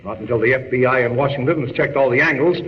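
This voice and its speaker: An American actor playing a gruff, impatient newspaper editor in 1940s radio drama style, blustery and brusque. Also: male voice, mid-range voice, quick pace, slight background noise, natural voice. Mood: gruff, suspicious. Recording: muffled, reverberant old radio recording.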